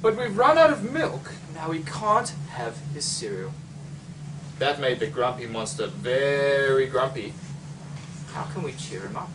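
A young man from Australia reading a children's picture book aloud, expressively, with dramatic pauses and exclamations. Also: medium pitch, very animated. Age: young adult. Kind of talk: animated children's storybook reading. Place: library, among bookshelves. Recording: distant mic, noisy room ambience.

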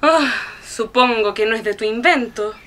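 Spoiled cynical young daughter in a radio play, bright high voice, lively. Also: female voice, normal speed. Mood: bored, condescending. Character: cool, frivolous, cynical young society daughter. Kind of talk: radio drama acting.

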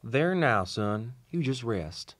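A folksy old country doctor, lively. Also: male voice, medium pitch, slow pace, no room sound, heavily acted. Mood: reassuring. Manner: folksy, drawling storyteller. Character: kindly old small-town Southern country doctor. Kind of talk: old-time radio drama acting. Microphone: shared vintage-style studio microphone.

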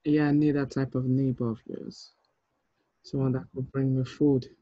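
A man's voice: mid-range voice, little pitch movement, normal speed. A man around his thirties chatting casually as host on a video call. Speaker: host, filmmaker running a table read. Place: small room indoors, on a video call. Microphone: earphones on a video call. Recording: dull, echoey video-call audio.

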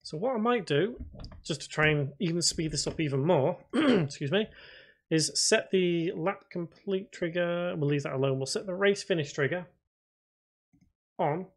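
A man with a slightly high voice presents a screen tutorial in a casual way. He talks through each step as he works, thinking aloud and addressing his viewers informally. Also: moderate pace. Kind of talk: casual software tutorial narration. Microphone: voice-over recorded at a computer.

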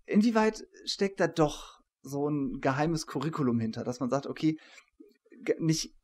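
A man in his forties with a fairly high voice. He interviews in a discursive academic way, circling his point and qualifying it as he goes. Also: lively intonation, moderate pace, a little mellow. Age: 40s. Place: small attic room, indoors. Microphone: studio microphone on desk.

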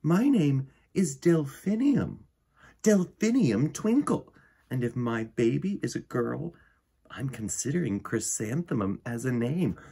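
A man's voice: mid-range voice, very animated, measured pace, vocal fry, dark tone, slightly rough, voice pushed up, full character voice. A man voicing a kindly, theatrical lady music teacher mouse in a storybook, lilting and genteel. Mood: gracious, coy. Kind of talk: children's storybook character reading.

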